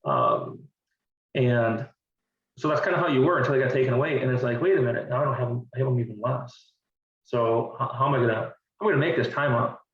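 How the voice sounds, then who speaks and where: A man's voice: mid-range voice, big pitch swings, fast talker. Earnest middle-aged man, distant and roomy. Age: 40s. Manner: earnest, repeats words while thinking. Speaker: interview guest. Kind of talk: candid conversational interview. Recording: distant, roomy and dull-sounding.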